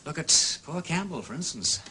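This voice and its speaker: An actor playing a breezy, talkative aristocratic gentleman sleuth in a radio drama, with an upper-class English accent and an effusive manner. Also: on the high side, very animated, normal speed, noisy background. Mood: chatty, probing. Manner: effusive, affectedly jolly and chatty.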